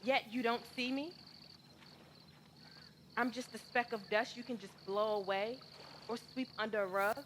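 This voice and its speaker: A woman acting out a hurt but defiant woman who feels unseen, in a stage-style monologue. Her voice sits a little high and moves very slowly, with deliberate emphasis and pleading pauses. Faint outdoor noise sits behind her. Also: fairly even intonation, a bit bright. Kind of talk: dramatic spoken monologue performance.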